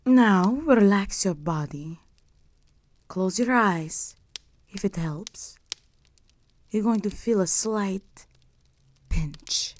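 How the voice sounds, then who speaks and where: A woman's voice: mid-range voice, very slow, long pauses, darkish tone. A woman playing a regal, possessive vampire countess in an intimate audio roleplay, speaking to the listener in a formal, imperious and seductive manner. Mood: intimate, predatory.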